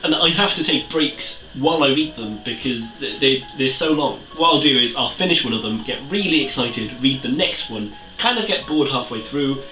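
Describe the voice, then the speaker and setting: A man's voice: medium pitch, lively intonation, normal speed, hardly any pauses, sharp tone, far from the mic, faint music behind. A man in his twenties with a Welsh accent, chatting animatedly and self-mockingly in a casual book review vlog. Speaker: book vlogger. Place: small room with bookshelves, indoors. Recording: roomy echo, mic set back.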